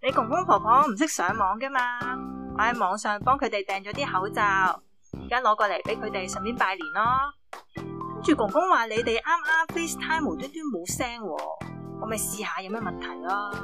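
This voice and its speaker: A woman's voice: mid-range voice, lively intonation, moderate pace, music in the background, natural voice. A woman playing a helpful, caring aunt in a radio drama, with a Hong Kong Cantonese accent. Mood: concerned.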